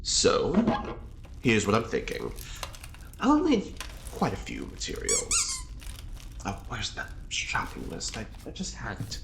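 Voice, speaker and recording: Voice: medium pitch, huge pitch swings, slow, gravelly, long pauses, background noise, heavily acted. An actor playing a smug, flirty long-term prisoner in an audio drama, with arch, flamboyant, teasing delivery. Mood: smug, wheedling.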